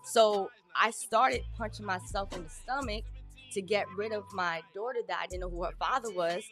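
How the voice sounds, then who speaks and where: A woman in her thirties telling her life story in an interview, candid and plain-spoken, with halting restarts. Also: medium pitch, fairly bright tone, soft background music. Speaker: guest. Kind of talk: personal testimony in an interview.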